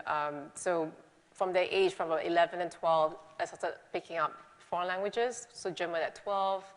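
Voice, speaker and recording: A woman's voice: fairly low pitch, fairly bright tone. A woman in her forties from Singapore, a native Malay speaker, answering interview questions on stage in a chatty, self-deprecating way. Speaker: guest speaker. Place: lecture hall. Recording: reverberant hall.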